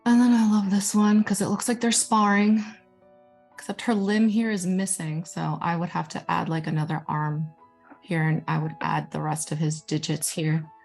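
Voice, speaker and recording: A young woman from Guatemala, a Spanish speaker from birth, talks through her artwork in a chatty, enthusiastic way. Her voice sits a little low. Soft music plays faintly behind her. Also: level tone, normal speed, creaky. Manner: chatty and enthusiastic, self-deprecating asides. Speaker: digital storytelling manager. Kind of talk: informal presentation showing her artwork.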